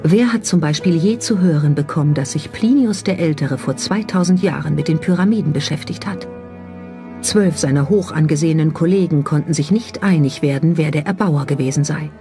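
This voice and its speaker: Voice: mid-range voice, brisk, dark tone. A narrator delivering a scripted documentary voice-over. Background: background music.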